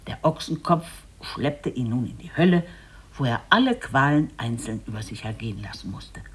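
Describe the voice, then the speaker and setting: Husky, highly expressive storyteller. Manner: deliberate, expressive. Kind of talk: dramatic literary tale narration.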